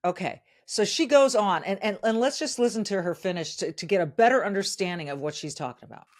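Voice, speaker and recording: Woman podcast host, casual commentary, even middle pitch. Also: a little fast. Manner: conversational, cues her producer.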